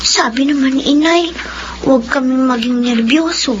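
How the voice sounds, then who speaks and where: A woman's voice: high-pitched, normal speed, lightly acted. A woman voicing a timid young daughter who scares easily, talking politely and nervously. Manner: polite, jittery, childlike. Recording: dull lo-fi audio with hiss.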